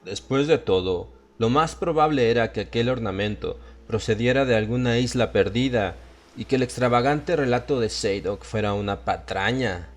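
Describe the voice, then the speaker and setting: A man reading scripted horror fiction as an audiobook narrator. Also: fairly low pitch.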